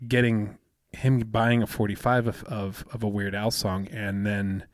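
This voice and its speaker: A man in his fifties with a soothing, smooth voice, chatting casually as a podcast co-host and rambling with many fillers and restarts. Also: fairly low pitch, flat delivery, measured pace, no room sound, studio quality. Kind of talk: casual chat.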